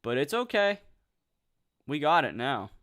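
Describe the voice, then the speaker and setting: A nineteen-year-old man chatting casually on a livestream, reading out viewer comments, excitable and swearing freely. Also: fairly high pitch, a little slow.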